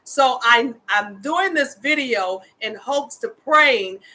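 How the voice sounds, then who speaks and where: A woman's voice: a little high, expressive, slow, bright, forceful tone. A woman in her fifties hosting a casual live show, speaking with earnest, impassioned conviction as an advocate. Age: 50s. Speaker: host, homeless women's advocate. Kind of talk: casual livestream talk.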